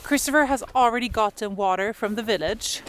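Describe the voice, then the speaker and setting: A woman's voice: on the high side, fairly quick, heavy background noise. A woman in her thirties whose first language is Swedish, chatting casually in a vlog outdoors in the freezing cold, laughing often. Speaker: vlogger.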